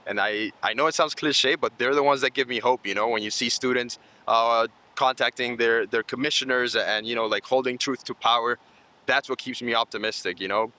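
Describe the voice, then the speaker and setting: Bright-voiced earnest man in his thirties. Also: medium pitch. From Colombia, raised in Miami. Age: 30s. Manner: earnest, explanatory. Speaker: nonprofit program manager and interviewee. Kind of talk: conversational interview answers.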